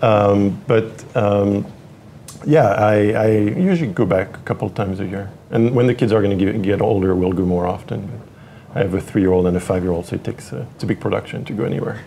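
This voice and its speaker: A French man from Paris in his forties, a tech executive answering interview questions with many pauses and restarts. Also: fairly low pitch, gravelly, dark tone, slight background noise. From France (Paris). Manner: hesitant, many fillers and restarts. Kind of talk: interview conversation.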